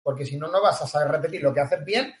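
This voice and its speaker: A man's voice: a little high, fast talker, reverberant. A man from Catalonia with a Murcian-tinged Spanish accent, a sales coach talking as a guest in an interview, emphatic and fond of repeating phrases. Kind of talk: podcast interview.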